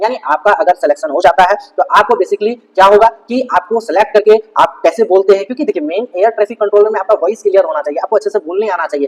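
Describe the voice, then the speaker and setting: A man's voice: high-pitched, a little mellow. A man hosting an informational video, explaining a notice step by step in an instructional manner. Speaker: YouTube host explaining government job vacancies. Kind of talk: informational video narration, walking through a notice on screen. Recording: echoey hall-like reverb.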